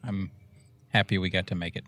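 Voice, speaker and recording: A man's voice: sits low, level tone, a little fast, fairly bright tone. A man co-hosting a podcast, chatting casually and in a laid-back way, often trailing off mid-thought. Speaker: podcast co-host. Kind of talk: casual chat. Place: outdoor flying event.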